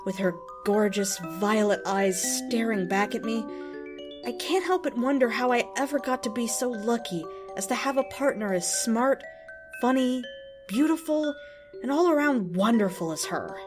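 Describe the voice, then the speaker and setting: A woman's voice: a little high, animated, moderate pace, over music, close to an everyday voice. A woman voicing an earnest, lovestruck and easily offended devotee in a dramatic, emphatic reading of an open letter. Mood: tender, devoted. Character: earnest, lovestruck, indignant devotee of a cartoon pony.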